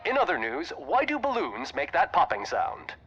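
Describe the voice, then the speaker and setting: Muffled, lively male TV news anchor. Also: on the high side, moderate pace, slightly rough, full character voice. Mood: chirpy. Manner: polished broadcast delivery, theatrical. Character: glib male TV news anchor, solemn then chirpy. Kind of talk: newscast in a video game. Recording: muffled television sound with music.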